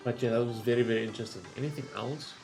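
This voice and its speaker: A man's voice: mid-range voice, very fast, vocal fry, over music. A young man hosting a gaming channel, giving casual reaction commentary and rambling with many fillers.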